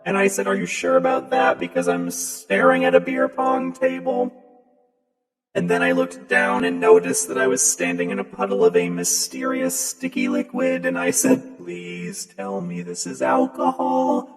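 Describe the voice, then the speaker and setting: A man's voice: huge pitch swings, moderate pace, soft background music. Man with wildly swooping, reverberant voice effect. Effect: pitch-shifting musical voice effect. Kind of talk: casual personal storytelling through a TikTok voice effect.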